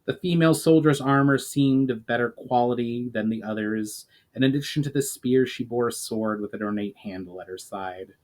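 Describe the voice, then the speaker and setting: Male author-narrator, dramatic storybook delivery, mid-pitched voice, small stumbles and retakes left in. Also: moderate pace, few pauses, darkish tone. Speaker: author reading own book, channel host. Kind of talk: dramatic audiobook-style narration.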